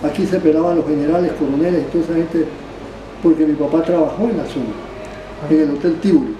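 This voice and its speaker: An older man from Panama, recounting his life as a barber in a rambling, halting way, restarting phrases and adding tag questions. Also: fairly high pitch, over music. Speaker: barber being interviewed. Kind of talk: rambling oral history. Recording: roomy, distant mic, background ambience.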